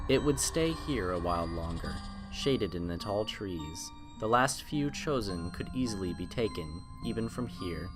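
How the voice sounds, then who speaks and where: A man reading a horror story as a scripted dramatic narration, solemn and suspenseful, drawing out key phrases. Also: low-pitched. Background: music.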